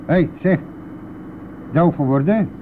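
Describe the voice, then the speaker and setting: An actor playing a brash, defensive bus driver in a Dutch radio play, blustering and scoffing in colloquial speech. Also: male voice, a little high, a little slow, lightly acted. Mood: scoffing, irritated. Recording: tinny band-limited old radio recording, noisy.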